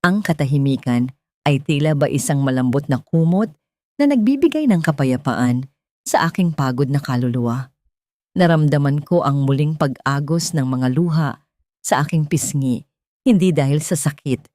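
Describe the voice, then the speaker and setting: A woman narrating a scripted first-person dramatic story in a solemn, deliberate, sentimental way. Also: low voice, animated, warm tone, dry recording.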